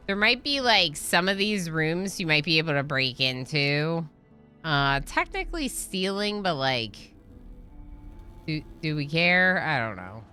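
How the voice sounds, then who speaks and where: A woman game streamer with a fairly low, bright, forward voice. She talks casually and chattily to her viewers while she plays a role-playing game. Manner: chatty, reads game dialogue aloud.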